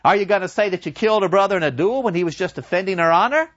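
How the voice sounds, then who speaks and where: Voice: medium pitch, racing, a bit bright, no room sound, pitched above the performer's own voice, close to an everyday voice. A storyteller voicing a scheming devil, taunting and sardonic, in a dull, dry lo-fi recording. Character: mocking scheming devil, gleeful.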